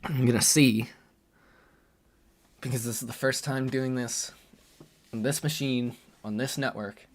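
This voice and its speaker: A man chats casually to his viewers during a game live stream, his voice a little hoarse. He talks at an unhurried pace in a middle-pitched voice, filling pauses with ums as he thinks out loud. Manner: relaxed, thinks aloud with frequent fillers. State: slightly hoarse.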